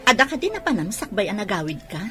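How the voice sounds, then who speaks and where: A woman's voice: mid-range voice, very animated, fast talker, sharp tone, husky, soft background music, heavily acted. A woman playing a hurt, suspicious wife and patient mother in an Ilocano audio drama.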